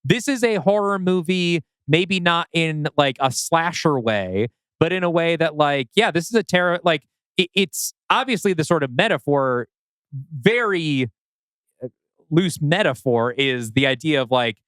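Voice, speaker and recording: Clear-voiced man, slightly high, podcasting. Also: animated, a little slow, a bit bright, dry recording. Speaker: podcast co-host. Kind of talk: casual podcast discussion.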